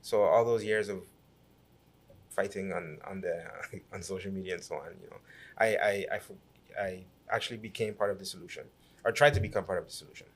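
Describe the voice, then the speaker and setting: A low-pitched man from Guyana, in his thirties, guest on a podcast. He tells his story with animation, often restarting and repeating words as he goes. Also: brisk, husky. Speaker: guest, businessman and city councillor. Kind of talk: conversational podcast interview.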